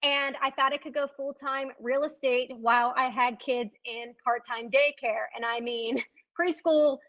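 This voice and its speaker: Brief: folksy, upbeat woman panelist, high voice, dull lo-fi recording. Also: steady tone, a little slow, fairly bright tone. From Richmond, Virginia. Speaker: real estate agent, panel speaker. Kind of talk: conference panel talk. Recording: dull lo-fi sound.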